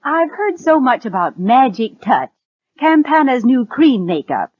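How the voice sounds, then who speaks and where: An actress playing a curious, eager makeup customer in a vintage radio commercial. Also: female voice, high voice, very animated, measured pace, lo-fi sound, exaggerated voice. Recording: band-limited vintage radio recording.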